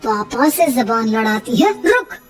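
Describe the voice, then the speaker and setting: A woman's voice: a little high, very animated, a little slow, husky, faint music behind, voice pushed down, exaggerated voice. A woman voicing an arrogant, short-tempered young husband in a cartoon story, scolding with contempt. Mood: angry.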